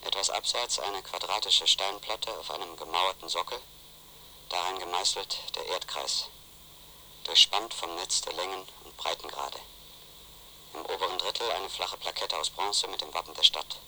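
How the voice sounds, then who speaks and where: An actor playing a brooding, insistent former magazine editor in a measured, introspective German radio play style. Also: male voice, sits low, steady tone, bright, forceful tone, slight background noise, exaggerated voice, rough recording. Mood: brooding, observant. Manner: measured, literary, introspective narration.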